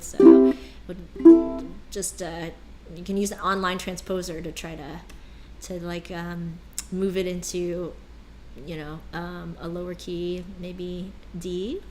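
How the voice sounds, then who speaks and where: A woman's voice: on the low side, a little mellow. A woman teaching ukulele in a casual live jam lesson, chatty and calling out strum patterns and chords. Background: ukulele playing.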